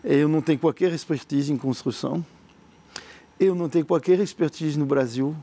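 French man, mellow, halting visionary. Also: on the high side. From France. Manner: earnest, visionary, halting with many fillers and repeated words. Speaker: entrepreneur. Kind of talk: interview answers.

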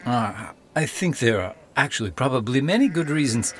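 A man's voice: medium pitch, animated, moderate pace, close to an everyday voice. A man playing a mild, sensible, doubting colonial villager in a comedic audio play, speaking in a dry, hesitant way. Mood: doubtful. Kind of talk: comedic audio theater acting.

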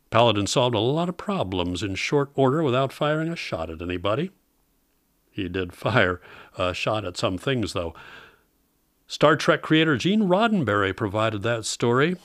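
Guy host, lowish voice, wry measured intros for old radio shows, very dry sound. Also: a bit bright, no room sound. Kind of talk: scripted narration introducing vintage shows.

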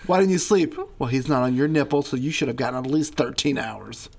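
A man's voice: medium pitch, a little fast, vocal fry, a little hiss or hum. A man in his late thirties co-hosting a podcast, joking dryly and mocking himself. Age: 30s. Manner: dry, self-mocking humor, lively intonation. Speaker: podcast co-host. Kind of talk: casual couple chat.

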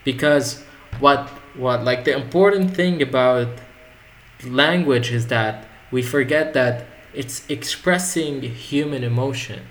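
A man's voice: medium pitch, slow pace. A man whose first language is Arabic, talking in a rambling, reflective way with many restarts in a casual conversation.

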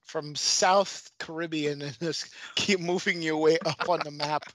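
A man's voice: on the high side, fairly even intonation, a little slow, a bit bright, dry recording. A man hosting a live talk show, chatting in an informal, exclamatory way.

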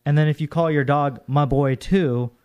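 A man with a deep, bassy voice hosting a casual call-in radio show, rambling and hesitant as he answers. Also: fairly even intonation, moderate pace, clear, resonant tone, muffled recording. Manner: rambling, hesitant, laid-back.

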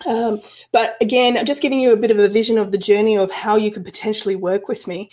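A woman's voice: medium pitch, steady tone, quick pace, a little mellow. Chatty woman coach on a live stream. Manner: chatty, frequent restarts and fillers. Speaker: spiritual business coach. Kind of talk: casual live-stream talk to a group.

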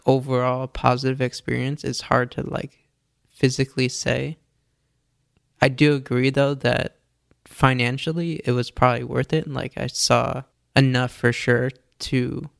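A man's voice: mid-range voice, vocal fry, no room sound, studio quality. A man chatting casually as a podcast co-host, easygoing and filling his talk with "like". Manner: easygoing, filler-heavy with "like". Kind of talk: casual chat. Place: Los Angeles.

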